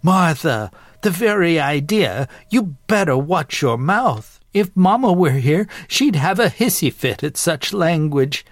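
A man's voice: fairly high pitch, expressive, a little slow, hardly any pauses, no room sound, voice pushed up. A man voicing a prim, proud elderly great-aunt in a story, nosy and easily scandalized.

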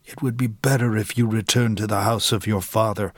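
A man voicing a calm, blunt adult male healer who explains things firmly. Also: on the low side, brisk, no echo, studio quality. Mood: grave. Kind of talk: audiobook character reading.

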